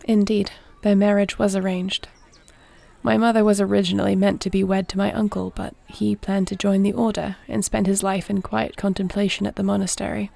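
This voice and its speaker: A woman playing a gentle, dignified warrior princess in an intimate fantasy roleplay, speaking softly with formal, measured, tender diction. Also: mid-range voice, little pitch movement, vocal fry, dry recording. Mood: warm, sincere.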